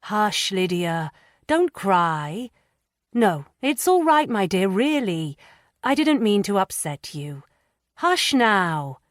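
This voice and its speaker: A woman's voice: fairly high pitch, big pitch swings, moderate pace, dry recording, clean studio sound, voice pushed up. A woman voicing a firm but caring young lady in an audiobook, insistent and soothing by turns. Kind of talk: audiobook character voice.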